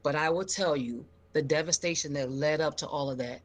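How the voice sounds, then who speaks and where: A middle-aged American woman from Philadelphia telling her own story on a live show in an emphatic, expressive, high-energy way. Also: a little fast. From Philadelphia, United States.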